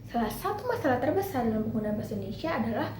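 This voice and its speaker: A woman's voice: on the high side, big pitch swings, racing. A young woman presenting a class project, reading through lists of points with small slips and restarts. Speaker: student presenter. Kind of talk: class presentation explaining a topic. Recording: echoey room with clear background noise.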